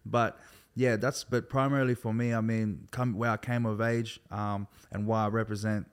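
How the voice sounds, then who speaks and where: A laid-back Australian man in his thirties speaks in a low, steady voice that barely rises or falls. He talks loosely and at length, circling his point with plenty of "like" and "bro", as a guest in an easygoing conversation. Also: normal speed, clear, resonant tone. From Sydney, Australia. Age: 30s. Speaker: guest, hip hop artist. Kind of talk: casual conversation.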